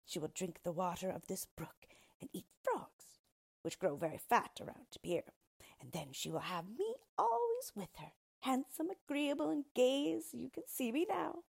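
A woman voicing an ugly, boastful male dwarf who talks in a smug, disdainful, drawn-out way, in a storybook reading. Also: mid-range voice, creaky, darkish tone, husky, exaggerated voice.

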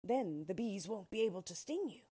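A woman voicing a brave male straw scarecrow, using a much higher, eager voice. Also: expressive, normal speed, mellow, slightly rough. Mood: urgent.

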